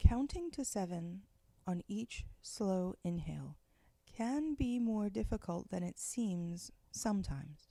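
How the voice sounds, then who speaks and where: Woman meditation guide, very slow and gentle, dry close recording. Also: mid-range voice, very animated, gravelly, no room sound. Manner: slow, soft, gentle instructions with long pauses.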